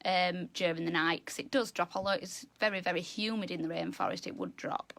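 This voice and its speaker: A woman with a loud, high-pitched voice, chatting enthusiastically as a zoo educator teaching children. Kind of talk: live educational animal talk.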